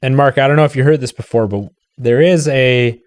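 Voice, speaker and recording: A man's voice: mid-range voice, a little fast, darkish tone, smooth. A man co-hosting a livestream with casual, conversational banter. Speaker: livestream co-host.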